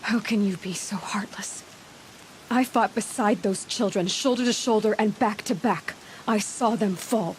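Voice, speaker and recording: A woman's voice: medium pitch, unhurried, a little hiss or hum, lightly acted, low-quality recording. Woman as a defiant young outcast warrior. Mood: indignant. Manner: earnest, determined. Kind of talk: video game cutscene acting.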